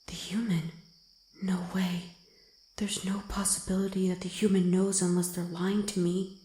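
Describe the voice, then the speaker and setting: Woman voicing a husky, guarded wolf girl. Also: on the low side, level tone, measured pace, warm tone. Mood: disbelieving. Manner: blunt, commanding, gruffly teasing. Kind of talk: ASMR roleplay audio drama.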